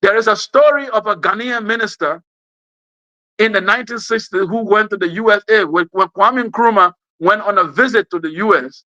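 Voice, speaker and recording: A man's voice: sits high, moderate pace, pushed and bright, no echo, lo-fi sound. A man with a West African accent gives an impassioned political speech, emphatic and repeating phrases for effect. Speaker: speaker giving an address.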